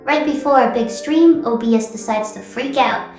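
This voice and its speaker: A woman's voice: fairly high pitch, normal speed, darkish tone, close to an everyday voice. A woman playing a playful, blunt young streamer friend in an audio drama, casual, teasing and offhand. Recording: roomy echo under music.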